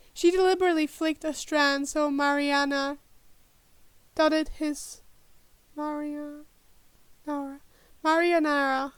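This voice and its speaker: A woman with a high voice and a command of works through a text aloud at an unhurried pace. She stops and restarts often, mutters to herself and tests how words are pronounced. The sound is close and dry. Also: no room sound, poor audio. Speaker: podfic reader. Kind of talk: informal rehearsal of a read-aloud, checking pronunciations.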